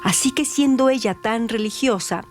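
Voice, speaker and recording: A woman narrating a scripted dramatic radio story in a theatrical, deliberate way. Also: on the high side. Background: music bed.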